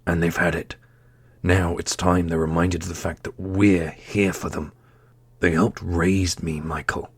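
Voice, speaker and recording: Male performer as warrior prince, deep, creaky, earnest, deliberate, steadfast, fantasy audio roleplay. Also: flat delivery, dry recording, heavily acted. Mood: resolute. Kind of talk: ASMR fantasy roleplay acting.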